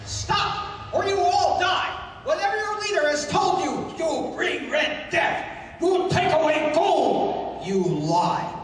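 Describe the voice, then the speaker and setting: Casting: male actor for fierce radio-serial tribesman, high-pitched, halting and accusing, distant and very reverberant. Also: very animated, a little hiss or hum, full character voice. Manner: halting, slow broken English. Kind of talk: 1930s pulp radio drama acting. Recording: very echoey distant hall.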